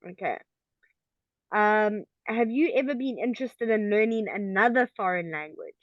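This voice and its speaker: A woman's voice: mid-range voice, moderate pace, no room sound. Patient woman tutor, dry dull sound. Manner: patient, checking understanding with frequent okays. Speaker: English tutor. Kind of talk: online English lesson.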